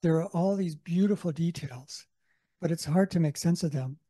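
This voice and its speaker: Mellow-voiced Canadian man. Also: fairly high pitch, normal speed, no echo, clean studio sound. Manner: storytelling, enthusiastic. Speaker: audience member. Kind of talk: comment from the floor. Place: large conference hall.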